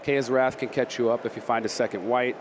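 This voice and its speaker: A man giving analytic color commentary on card game decks, in a quick, explanatory way. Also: mid-range voice, steady tone, background noise. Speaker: esports analyst.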